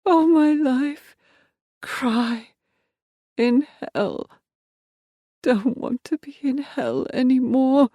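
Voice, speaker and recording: A woman performing a broken, tormented young woman in an audiobook, speaking in halting one-word fragments that drift between whispers and cries. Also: sits high, dark tone, dry recording, full character voice. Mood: pleading. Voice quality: breathy. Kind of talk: audiobook inner-monologue performance.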